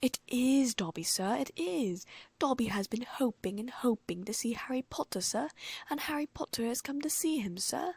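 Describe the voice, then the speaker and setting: A woman reading a story aloud and voicing an eager, humble male house elf with a squeaky, breathy voice. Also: animated, normal speed, darkish tone, dry recording, heavily acted.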